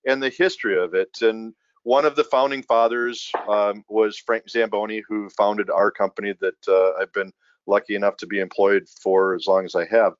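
Low-voiced joking male podcast host. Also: steady tone, normal speed, no room sound, dull audio. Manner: joking, self-deprecating, rambling. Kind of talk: casual podcast interview.

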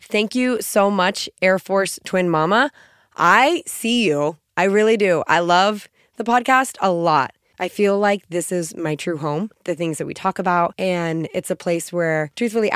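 A woman's voice: fairly low pitch, no room sound, clean studio sound. Stuffy-nosed, scratchy-voiced woman podcaster. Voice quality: scratchy, gruff. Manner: earnest, encouraging. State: stuffy nose from a cold. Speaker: podcast host. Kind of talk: motivational podcast talk.

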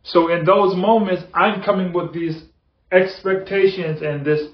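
A man's voice: high-pitched, steady tone, a little slow. A man hosting a motivational podcast, talking earnestly and repeating phrases for emphasis. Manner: earnest, repetitive, building emphasis. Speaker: podcast host. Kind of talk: motivational monologue. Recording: echoey, dull lo-fi room sound.

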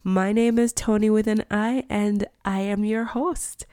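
A woman's voice: mid-range voice, fairly even intonation, mellow, no room sound, studio quality. A woman hosting a faith podcast, congested from a cold, leading an earnest prayer and reading scripture aloud. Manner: earnest, slow and deliberate. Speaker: podcast host.

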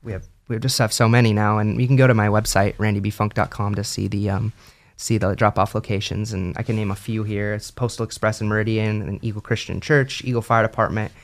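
A man's voice: on the low side, flat delivery, quick pace. A young man answering interview questions in an easygoing way, with lots of ums and friendly agreement. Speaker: guest musician.

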